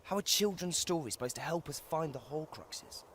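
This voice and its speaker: An earnest teenage English boy wizard from a video game. His voice sits a little high and swings freely up and down. He sounds burdened yet resolute. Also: lively intonation, normal speed, fairly bright tone, slight background noise. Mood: questioning. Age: young adult.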